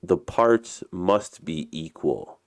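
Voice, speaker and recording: Man reading as a proud, deep-voiced island chief. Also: lively intonation, slow pace, no room sound, full character voice. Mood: firm.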